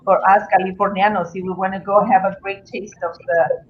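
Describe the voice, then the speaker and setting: A woman's voice: mid-range voice, fairly even intonation, a little fast, dull audio, a little hiss or hum. A woman hosting a casual interview, asking eager, chatty questions. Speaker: host. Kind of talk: interviewing guests.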